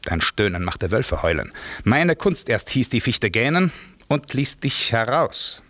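A commanding magician master, recorded close and dry with a mid-pitched voice. He is stern and imperious, declaiming classical verse with measured authority in the manner of a German radio play. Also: big pitch swings, fairly bright tone, dry recording, exaggerated voice. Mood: angry, accusing.

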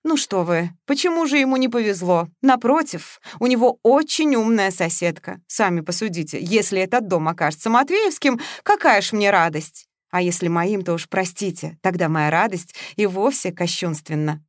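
A woman voicing a proud, sharp-tongued young woman who speaks with indignant sarcasm, in a dry recording. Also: mid-range voice, expressive, moderate pace, hardly any pauses, no echo, lightly acted. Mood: sweetly cutting.

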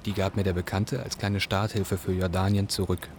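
Actor as a low-voiced earnest young fugitive. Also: male voice, level tone, moderate pace, close to an everyday voice. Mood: earnest recounting. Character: resourceful young Iraqi fugitive, earnest and hunted. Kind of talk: literary radio drama narration.

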